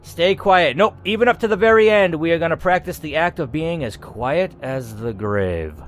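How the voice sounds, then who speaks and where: A man's voice: on the high side, moderate pace, slight background noise. A man giving a dramatic, theatrical reading of a scripted story, as a gaming host narrating aloud. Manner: theatrical, expressive reading with asides.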